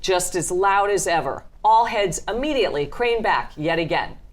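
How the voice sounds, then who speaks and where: A woman's voice: medium pitch, lively intonation, moderate pace. A woman reading a script's stage directions as narration at a table read.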